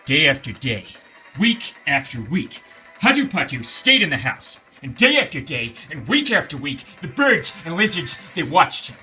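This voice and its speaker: A man's voice: a little high, very animated, moderate pace, sharp tone, soft background music. A man telling a children's bedtime story in a theatrical, emphatic way, repeating phrases for suspense.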